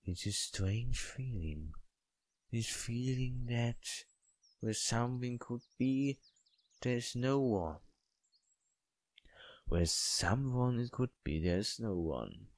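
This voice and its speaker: A man with a non-native English accent voicing a composed, mysterious young woman from a visual novel, in a measured, hesitant manner. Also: medium pitch, expressive, dry recording, pitched above the performer's own voice. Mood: wistful. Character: mysterious, composed young woman, oldest student, soft-spoken.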